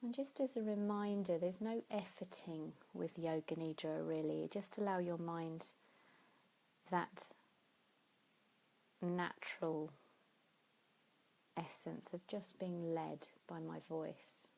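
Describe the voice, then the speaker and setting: A woman leading a guided sleep meditation in a soothing, unhurried way, pausing between phrases. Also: fairly low pitch, fairly even intonation, vocal fry, a little mellow, low-quality recording. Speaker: yoga nidra meditation teacher.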